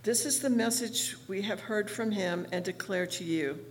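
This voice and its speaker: A woman pastor speaks in a chatty, friendly way, pausing often on "uh" and "um". Her voice sounds a little rough this morning, and it sits at an ordinary pitch. A faint room ambience hangs behind her. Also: slight background noise. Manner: friendly, chatty, with frequent fillers. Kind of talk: church announcements and liturgy. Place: church sanctuary.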